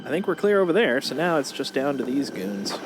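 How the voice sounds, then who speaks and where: A woman's voice: rumbling low, very animated, fairly quick, very noisy. A woman doing casual live game commentary in a playful, exclamatory way, given to sing-song whoops. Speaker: game streamer. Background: loud game music and sounds.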